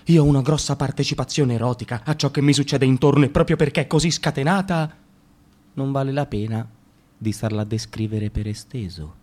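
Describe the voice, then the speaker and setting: Man doing a leering cop, low murmur, pushy, radio play. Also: a little fast, a little mellow, a little hiss or hum, natural voice. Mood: lecherous. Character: leering male policeman, pushy stalker. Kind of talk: radio drama acting.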